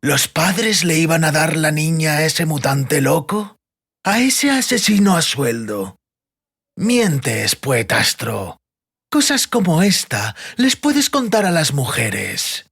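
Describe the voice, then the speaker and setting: Man playing a slow, sneering villainous interrogator. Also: fairly high pitch, very animated, vocal fry, fairly bright tone, slightly rough, exaggerated voice. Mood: sneering impatience. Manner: deliberate, sneering, threatening. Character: sadistic male interrogator, mocking, coldly menacing villain.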